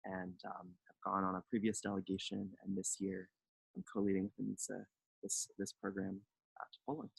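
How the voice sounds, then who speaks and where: Gravelly, husky young man, flat delivery. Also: low voice, a little fast, no room sound, rough recording. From North Carolina, United States. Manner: relaxed, hesitant, many fillers. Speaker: delegation co-leader. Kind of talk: webinar self-introduction.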